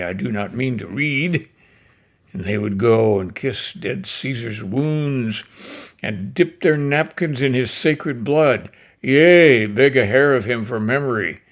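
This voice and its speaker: A man reciting the speech of a grieving, persuasive Roman orator, declaiming with sudden swells and drops in loudness. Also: medium pitch, gravelly, full character voice. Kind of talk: dramatic recitation of a classic play speech.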